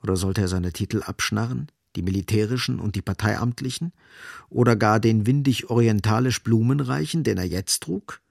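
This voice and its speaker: Low, gravelly male narrator. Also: normal speed, a little mellow, dry recording, studio quality. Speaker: narrator of a radio drama. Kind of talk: literary scripted narration.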